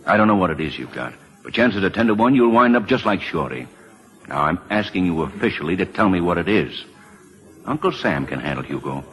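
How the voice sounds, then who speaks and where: A folksy lawman in a vintage radio play. His low voice rises and dips widely as he probes in an informal, halting way, shrewd and persistent. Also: expressive, a bit bright. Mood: urging. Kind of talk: old-time radio drama acting. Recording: vintage radio broadcast recording.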